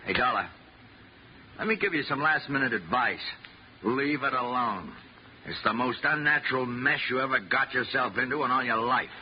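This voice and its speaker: A man's voice: mid-range voice, moderate pace, natural voice. A man plays a blunt American tough in old radio drama. The voice is mid-range, slangy and brusque. The recording is dull, with faint hiss. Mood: gruff, warning. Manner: brusque, colloquial.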